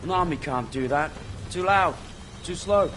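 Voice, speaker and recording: A man's voice: on the high side, moderate pace, background noise, lightly acted. A man playing a cool, matter-of-fact commando in a war game, speaking tersely and seriously. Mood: firm. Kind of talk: cinematic video game acting.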